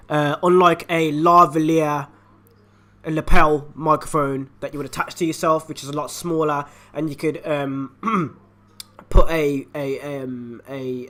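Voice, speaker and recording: A man with a raspy voice, hoarse from a flu, chatting upbeat and direct to viewers as he reviews a microphone at home on a desktop USB mic. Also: a little high, a bit bright. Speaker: YouTube channel host. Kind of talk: casual product review and unboxing. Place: at home, indoors.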